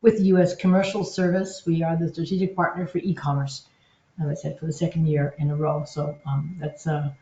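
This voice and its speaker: A woman's voice: low-pitched, flat delivery, fairly quick, dark tone, reverberant, lo-fi sound. A woman presenting a live webinar in an upbeat, enthusiastic, loosely conversational way. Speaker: webinar presenter, agency content officer.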